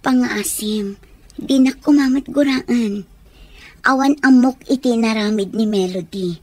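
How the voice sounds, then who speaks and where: A woman's voice: on the high side, unhurried, darkish tone, no echo. An actress playing a consoling, motherly woman in an Ilocano radio drama, with an earnest, soothing delivery and an Ilocano accent. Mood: sympathetic. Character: consoling mother figure, gently advising.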